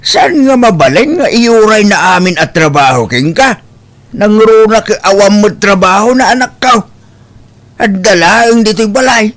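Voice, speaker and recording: A man playing a hardworking, put-upon elderly father-in-law, gently reproachful, in an audio drama with an Ilocano accent. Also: high voice, animated, moderate pace. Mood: concerned, gently reproving.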